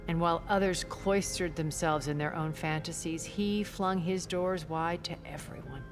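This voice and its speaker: An actress playing a refined, wealthy immortal woman in a video game, speaking in measured, eloquent, theatrical phrases with quiet scorn. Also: on the low side, over music, close to an everyday voice. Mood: fond, wistful. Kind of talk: video game character dialogue.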